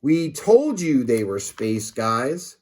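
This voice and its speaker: Casting: earnest schoolboy for a children's storybook read by an adult man, dramatic, very animated pitch. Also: takes it slowly. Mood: insistent. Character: earnest young schoolboy hero of a comic storybook.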